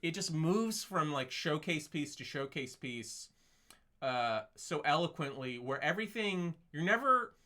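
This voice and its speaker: A man co-hosting a casual livestream film discussion, gushing and animated, peppering his talk with "like" and acting out reactions. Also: fairly high pitch, a little slow. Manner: gushing, animated, uses "like" a lot and acts out reactions. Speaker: co-host.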